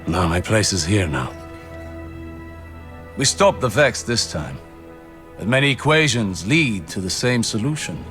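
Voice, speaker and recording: A solemn old warlock from a video game. His voice sits low and he weighs each portentous word like a weary mentor. Prominent music plays behind him. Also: very animated, fairly bright tone. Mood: reflective, warm. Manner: measured, portentous. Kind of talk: video game cutscene acting.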